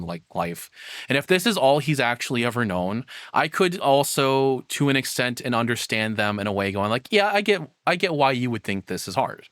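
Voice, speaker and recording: A man's voice: mid-range voice, fairly bright tone, no room sound. Male streamer, mid-range voice, casual and rambling off-the-cuff reactions, very dry sound. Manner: rambling, self-questioning, casual swearing. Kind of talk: unscripted live reaction commentary.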